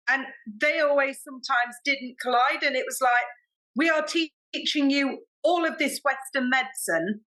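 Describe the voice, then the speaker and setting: A woman with an English accent, a podcast guest telling stories in a chatty, expressive way and acting out quoted dialogue. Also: high-pitched, poor audio. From Britain. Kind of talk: animated storytelling in casual interview.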